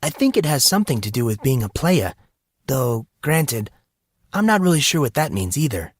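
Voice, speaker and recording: A man's voice: mid-range voice, very animated, normal speed, no echo, clean studio sound, voice pushed up. A man voicing a joking, cocky fourteen-year-old boy with a breezy, playful manner in an audiobook. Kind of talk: audiobook character voice.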